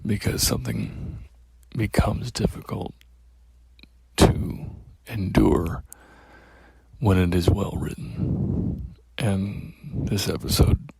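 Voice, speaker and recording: A man with a very deep voice calling in, speaking slowly and haltingly with heartfelt care. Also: creaky, warm tone, husky, no room sound, poor audio. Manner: slow, measured, halting. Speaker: caller. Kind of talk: heartfelt call-in comment.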